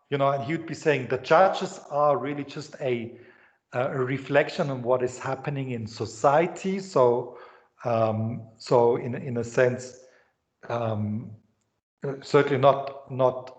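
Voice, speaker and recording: A man's voice: a little high, dull audio. Swiss-accented man lecturing in an echoey room. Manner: rambling, repeats words and restarts often. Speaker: university lecturer. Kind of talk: economics lecture.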